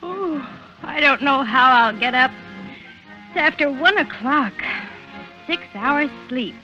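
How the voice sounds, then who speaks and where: A young working girl in an old radio thriller. Her voice is high and bright, with a slow, plaintive delivery, on a dull vintage recording. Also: animated, soft background music, exaggerated voice. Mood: weary, friendly. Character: tired young working girl heading home late at night. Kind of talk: 1940s radio acting.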